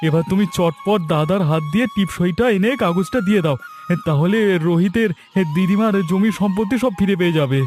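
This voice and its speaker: A man's voice: high-pitched, fairly quick, a little mellow, soft background music, close to an everyday voice. A man voicing an eager young village helper in a cartoon, earnest and coaxing. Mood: earnest, concerned. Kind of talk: cartoon voice acting.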